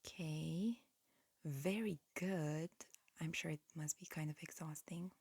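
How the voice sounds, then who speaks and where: A woman softly whispering an ASMR roleplay, explaining slowly and carefully as a calm, attentive examiner. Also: sits low, slightly rough, dry recording. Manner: soft, hushed whispering with slow, careful explanations. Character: calm, attentive alien examiner disguised as a human. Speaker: ASMR roleplay performer playing an alien examiner. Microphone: close ASMR microphone.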